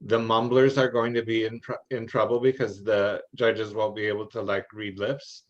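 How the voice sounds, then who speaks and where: A man's voice: lowish voice, flat delivery, normal speed. A man talking informally in an online meeting, advising others, over dull call audio.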